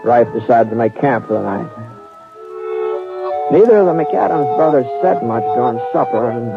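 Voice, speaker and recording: A man's voice: mid-range voice, expressive, moderate pace, creaky. An actor playing an easygoing male cowhand who narrates his own story in a drawling, folksy way. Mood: calm. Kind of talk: 1950s radio drama narration and acting. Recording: tinny lo-fi old radio broadcast. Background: music.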